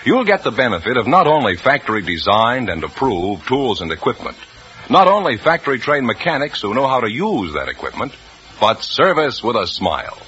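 A man's voice: mid-range voice, sing-song, a little fast, a bit bright. An American man announcing in the polished style of a 1950s radio show. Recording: dull old radio broadcast recording.

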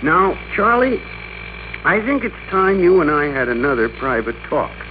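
An actor playing a bossy, excitable office worker in an old-time radio drama, with a domineering, emphatic, theatrical delivery. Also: male voice, a little high, moderate pace. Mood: bullying. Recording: noisy, dull old radio recording.

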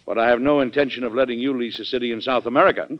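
An actor playing a resolute, blustery American president in an old-time radio drama, speaking emphatically and deliberately. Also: male voice, medium pitch, fairly even intonation, natural voice. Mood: firm, confronting. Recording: dull old radio broadcast recording.

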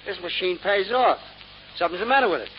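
An actor playing a slangy, sardonic small-time racketeer in an old-time radio drama. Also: male voice, high voice, lively intonation, quick pace. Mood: wry, bantering. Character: wisecracking small-time slot-machine racketeer, one of two brothers. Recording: dull, hissy old radio recording.

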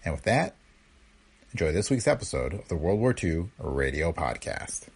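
An American man with a gravelly, husky voice reading a scripted podcast introduction as host. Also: low-pitched, big pitch swings, normal speed.

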